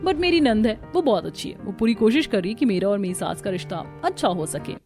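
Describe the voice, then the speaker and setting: A woman's voice: medium pitch, expressive, brisk, darkish tone, faint music behind, natural voice. A woman voicing a sweet, trusting young newlywed bride in a cartoon, polite and eager to please. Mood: chatty, hopeful.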